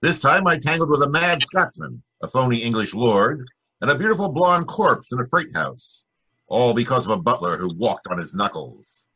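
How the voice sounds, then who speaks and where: A man's voice: medium pitch, sing-song, normal speed, sharp tone, breathy edge, exaggerated voice, rough recording. A man playing a wry, hard-boiled American private detective, tough and clipped, in 1940s radio drama style.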